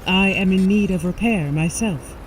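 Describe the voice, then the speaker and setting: Lady doing alien robot woman, calm n formal, very precise, bit of noise. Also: medium pitch, normal speed, natural voice, low-quality recording. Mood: calm, grave. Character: calm alien machine woman warning humanity. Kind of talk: sci-fi audio drama acting.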